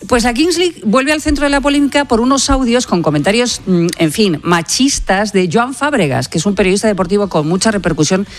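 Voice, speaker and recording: A woman's voice: mid-range voice, animated, brisk, few pauses. A woman with an accent from Spain presenting on the radio, with conversational news commentary and an ironic, emphatic delivery.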